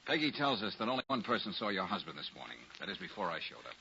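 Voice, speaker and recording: An actor playing a confident, breezy private detective in 1940s radio acting style. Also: male voice, deep voice, very animated, quick pace, creaky, sharp tone, heavily acted. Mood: businesslike. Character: wry, self-assured private detective hero. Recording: dull, noisy 1940s radio recording.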